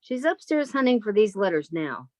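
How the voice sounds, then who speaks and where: A woman's voice: medium pitch, very animated, normal speed, dry recording, clean studio sound, lightly acted. A woman playing an earnest, love-struck and defiant sister in her thirties, in an old-time radio melodrama style. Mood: anxious.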